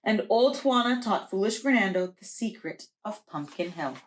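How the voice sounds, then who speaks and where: A woman preschool teacher reading a children's storybook aloud in a deliberate, expressive storytelling way. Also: medium pitch, noisy background.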